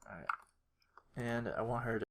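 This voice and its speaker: Creaky-voiced video maker, muttering while working. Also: mid-range voice, steady tone, moderate pace. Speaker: video creator giving a tutorial. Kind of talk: casual screen-recorded editing walkthrough. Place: indoors at a computer. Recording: clearly noisy, keyboard and mouse clicks.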